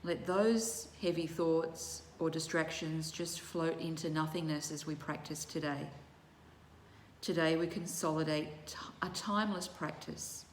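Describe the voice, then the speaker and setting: Female yoga nidra teacher, lowish voice, very unhurried and soothing, recorded in an echoey room. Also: steady tone. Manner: measured, soothing, with long pauses between short phrases. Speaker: yoga teacher leading guided relaxation. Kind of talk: guided meditation instruction. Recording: roomy echo with faint background noise.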